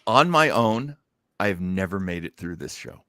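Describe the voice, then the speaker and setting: A man's voice: sits low, big pitch swings, moderate pace, bright, forceful tone, smooth, no room sound, clean studio sound. A man hosting a show in a casual, unscripted way, shifting between theatrical announcing and rambling chat. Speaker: show host.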